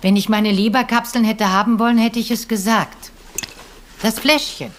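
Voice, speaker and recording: An actress playing a weary, imperious old rich aunt in a retro radio play, sharp and commanding. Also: female voice, fairly high pitch, fairly even intonation, moderate pace, slight background noise, close to an everyday voice. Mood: snappish. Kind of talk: retro German detective radio play acting.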